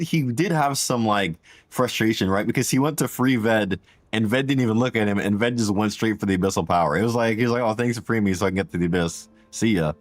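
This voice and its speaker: A man with a deep voice streaming a game, chatting casually and rambling to viewers with slang. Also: no echo. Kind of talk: casual gameplay livestream chat. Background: game music.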